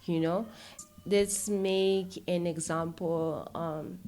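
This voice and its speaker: A woman's voice: fairly low pitch, fairly even intonation, extremely slow, dark tone. A woman with a South African accent talking casually and opinionatedly as a podcast guest, emphatic and often checking that the listener follows. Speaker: podcast guest, filmmaker and YouTuber. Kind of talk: casual, opinionated interview talk.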